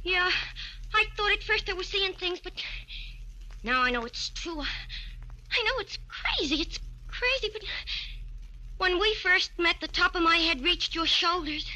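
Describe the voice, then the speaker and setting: A scared, pleading girlfriend in a 1940s radio drama. Her voice is very high and piercingly bright, and she delivers her lines slowly, with halting melodrama. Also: lively intonation, exaggerated voice, rough recording. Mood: fearful. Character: frightened, pleading young sweetheart of a giant. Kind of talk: 1940s radio thriller acting.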